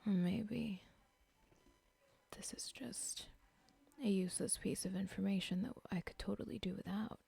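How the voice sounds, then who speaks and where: A scheming cartel boss , voiced by a woman, plots aloud in a close, breathy whisper for an ASMR roleplay. The whisper is husky with a gravelly edge, and every word is drawn out slowly. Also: mid-range voice, fairly even intonation, mellow, exaggerated voice.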